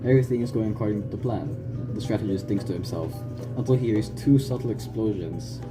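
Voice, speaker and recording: A man's voice: lowish voice, a little fast, music in the background. A man narrating a scripted story for a puppet show, reading aloud with occasional stumbles. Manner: stumbling read-aloud delivery.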